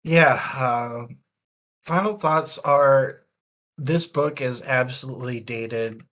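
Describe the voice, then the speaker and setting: A man's voice: mid-range voice, takes it slowly, a bit bright. A man co-hosting a casual book discussion, thinking aloud in long, winding points. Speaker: podcast co-host.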